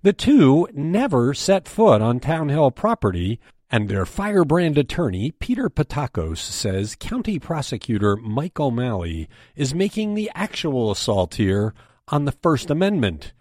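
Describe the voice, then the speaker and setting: An American man delivers a scripted news briefing in the role of editor and host. His pitch is animated and moves widely through each sentence. The sound is dry, with hardly any room to it. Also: measured pace, dry recording.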